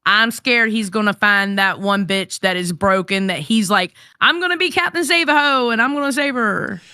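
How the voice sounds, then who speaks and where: A woman's voice: mid-range voice, moderate pace, sharp tone, clear, resonant tone, dry recording, studio quality. A woman co-hosting a casual podcast chat, blunt and sassy, swearing freely.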